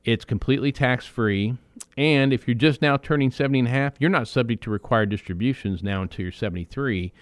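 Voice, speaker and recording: A man hosting a financial advice radio show, explaining in a conversational way with quick asides and restarts. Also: mid-range voice, dry recording.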